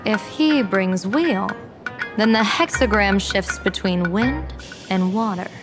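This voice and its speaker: An enigmatic female fortune-teller and general from a video game, played by a woman. She talks slowly and theatrically, musing with deliberate pauses and a playful edge. Music plays clearly behind her. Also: mid-range voice, lightly acted. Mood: solemn. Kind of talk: English video game voice acting. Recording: music under the voice.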